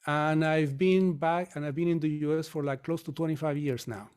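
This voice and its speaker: A man in his fifties from Chile, answering podcast interview questions in a measured, reflective way. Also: on the high side, fairly even intonation, a little mellow, clean tone. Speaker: guest, mortgage company founder.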